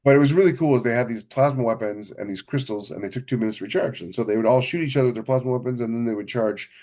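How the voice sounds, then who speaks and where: Man, mid-range pitch, podcast co-host, casual chat, enthusiastic, emphatic, repetitive phrasing. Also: very fast, darkish tone. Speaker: podcast co-host and science fiction author.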